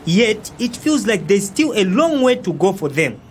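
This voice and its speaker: Man acting indignant young zambian guy, argues hard, very lively pitch, noisy audio drama recording. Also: moderate pace. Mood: frustrated. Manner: argumentative, emphatic, frequent interjections.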